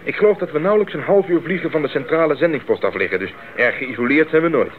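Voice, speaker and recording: A man's voice: fairly high pitch, lively intonation, quick pace, close to an everyday voice. An actor playing an idealistic, eager young male missionary in a 1980s radio drama, speaking earnestly and articulately. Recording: narrow-band 1980s radio recording, faint noise.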